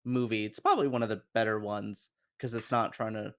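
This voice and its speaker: A man's voice: fairly low pitch, steady tone, fairly quick, a little hiss or hum. A man chatting casually as a guest, enthusiastic and rambling into asides. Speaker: guest on a gaming chat show. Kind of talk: casual chat.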